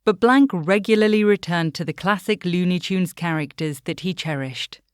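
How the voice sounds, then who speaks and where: A woman's voice: fairly low pitch, normal speed, clear, resonant tone, no echo, studio quality. A woman narrating a scripted documentary as the host.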